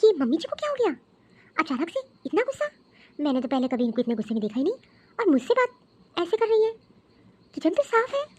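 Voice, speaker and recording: A meek, dutiful young daughter-in-law acted by a woman in a homemade family drama. She speaks politely in a high, gentle voice, with a puzzled, deferential manner. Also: very animated, fast talker, pauses often. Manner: polite, soft-spoken, puzzled.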